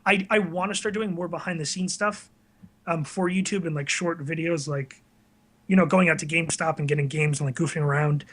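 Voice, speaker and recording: Male video creator as interviewee, high-pitched, informal, modest and self-deprecating. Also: steady tone, a little fast, fairly bright tone. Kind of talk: casual chat.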